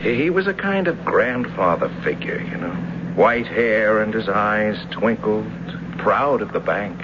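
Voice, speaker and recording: A low, very expressive voice plays a sardonic, brooding husband who resents his lot in life. The delivery is naturalistic radio mystery acting, halting and bitter. The old recording is muffled, with clear noise behind the man. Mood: brooding, defensive. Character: bitter husband, former banker turned struggling schoolteacher. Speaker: radio drama actor.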